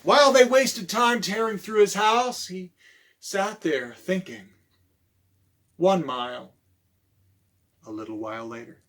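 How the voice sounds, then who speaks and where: A man reading aloud as a children's storytime librarian, theatrical, with dramatic pauses and hushed moments, putting questions to young listeners. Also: high-pitched, animated.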